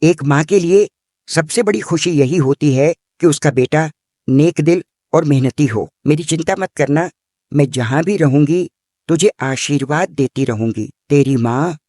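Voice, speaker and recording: A man's voice: on the high side, measured pace, warm tone, dry recording, studio quality, natural voice. A man voicing a tender dying old mother. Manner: tender, blessing.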